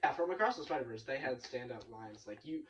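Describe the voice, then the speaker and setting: Low, lively-voiced woman on a podcast. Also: a little fast, slight background noise. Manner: rambling, trails off mid-sentence. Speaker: podcast co-host. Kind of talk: casual chat with friends. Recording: dull lo-fi podcast recording.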